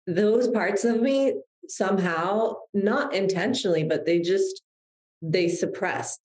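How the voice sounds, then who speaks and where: A woman in her thirties talks in a reflective, heartfelt way, often restarting her sentences. Her voice is mellow and sits a little low. The room around her echoes noticeably. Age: 30s. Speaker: guest, publicist. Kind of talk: podcast interview.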